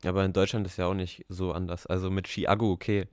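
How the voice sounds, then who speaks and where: Very deep-voiced young man, dry sound. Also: level tone, fast, a little mellow, no echo. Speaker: podcast co-host. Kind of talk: casual chat about music.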